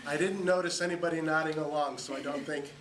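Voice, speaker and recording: Man, conference lecture, wry, lots of uh fillers, high-ish voice, distant mic, echoey room. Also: steady tone, fast, a bit bright, a little hiss or hum. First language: English. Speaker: conference speaker. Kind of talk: academic conference talk.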